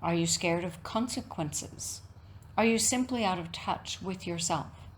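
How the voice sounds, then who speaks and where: A woman teaching yoga nidra, giving a reflective guided meditation talk in measured, deliberate phrases with frequent pauses. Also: on the low side, a little hiss or hum.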